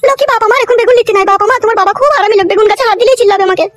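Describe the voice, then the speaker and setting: একজন নারীর অভিনয়ে খুব চিকন গলার আদুরে গ্রাম্য মা. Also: female voice, extremely high pitch, very fast. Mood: coaxing. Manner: coaxing, plaintive. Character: doting, scolding village mother of a small boy. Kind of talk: comedy cartoon dubbing.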